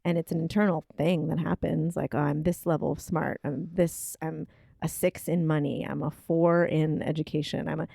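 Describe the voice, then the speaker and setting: A woman's voice: deep voice, flat delivery, moderate pace, gravelly, dark tone, no echo, studio quality. A woman co-hosting a casual kitchen-table chat, talking thoughtfully and searching for words with many fillers. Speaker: podcast co-host.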